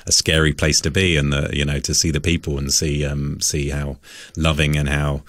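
A man with an extremely deep voice talks casually as a radio interview guest. He rambles gently and hesitates as he goes. The sound is close and dry, with hardly any room around it. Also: flat delivery, moderate pace, dry recording. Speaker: interview guest, church musician.

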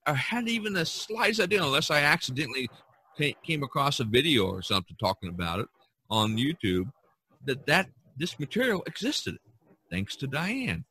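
Man, early sixties, bright forceful voice, casual podcast host, halting delivery, repeated words. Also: on the high side, sing-song, breathy edge. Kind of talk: casual, rambling podcast chat.

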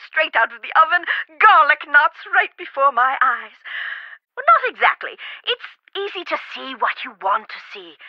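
A chatty, whimsical older woman who rambles about food and puzzles. Her voice sits high and swoops around a lot. It comes through a dull, phone-like line with no room sound. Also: no room sound. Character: fussy food-loving older woman helper. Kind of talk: game voice acting.

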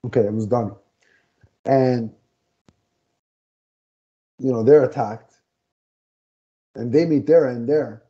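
A man's voice: medium pitch, takes it slowly, pauses often. A man co-hosting a casual comic review podcast from a home room, talking through a headset on a video call and often searching for words. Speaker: podcast co-host. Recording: dull lo-fi video call audio.

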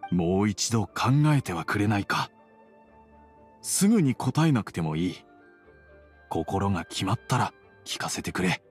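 An actor playing a reserved middle-aged father and merchant in an anime game, with measured, formal speech. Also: sits low, very animated, faint music behind. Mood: gentle, hesitant.

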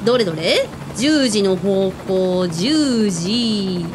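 A woman's voice: lively intonation, drawn-out words. A woman playing a brash, bossy owner who commands a mission in a radio drama, with a blustering manner. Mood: brisk. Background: music, helicopter rumble.

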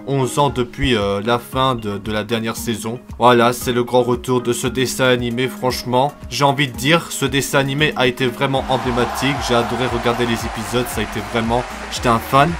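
Young male YouTube host, casual fan commentary, enthusiastic, mid-pitched, light music underneath. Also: flat delivery, brisk, hardly any pauses. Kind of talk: casual TV news commentary for a video channel.

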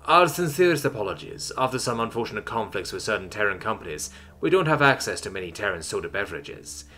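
A man's voice: fairly low pitch, big pitch swings, fast, pushed and bright, full character voice. A man voicing a nervous, polite male alien waiter who speaks formally and deferentially in a story. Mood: anxious, apologetic.

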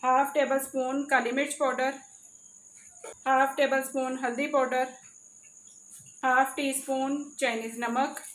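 A woman's voice: high voice, a bit bright. A woman, hosting a cooking channel and narrating a recipe step by step, addressing viewers directly from a home kitchen. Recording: echoey room with faint background noise.

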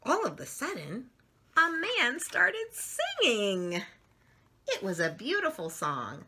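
A middle-aged woman reading a story aloud for children, expressively and with drawn-out emphasis on words. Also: fairly high pitch, wildly swooping pitch, bright, forceful tone, slight background noise. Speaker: children's story channel host reading picture books aloud. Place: small furnished room at home, indoors.

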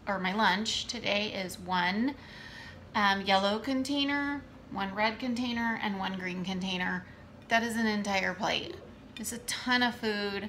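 A woman in her thirties casually showing her meal prep at home, talking in an unhurried way with many fillers. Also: mid-range voice, fairly bright tone. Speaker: fitness coach vlogger. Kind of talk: casual how-to vlog. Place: home kitchen, indoors. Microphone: camera on the counter. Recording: echoey kitchen with room noise.

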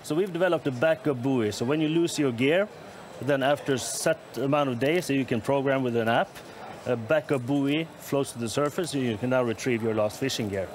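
A man's voice: medium pitch, fairly quick. Man, executive guest, matter-of-fact, plain mid voice, noisy expo hall. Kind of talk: interview, explaining a product. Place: large indoor expo hall.

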